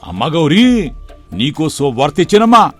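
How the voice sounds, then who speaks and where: నటుడు పలికే కపటపు పల్లెటూరి పెదనాన్న, కాస్త హెచ్చు స్వరం. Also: male voice, a little high, big pitch swings, normal speed, a bit bright, faint music behind. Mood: falsely affectionate. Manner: folksy rustic colloquial speech. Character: scheming middle-aged village uncle, sly, falsely affectionate. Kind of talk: dramatised moral story voice acting.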